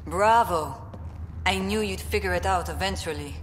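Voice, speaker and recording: An actress playing a mocking, bitter smuggler taunting a rival in a space video game. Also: female voice, fairly low pitch, normal speed, a little hiss or hum, lightly acted. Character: scornful, taunting female smuggler, a jilted rival. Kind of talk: video game dialogue acting. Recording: faint music behind the voice.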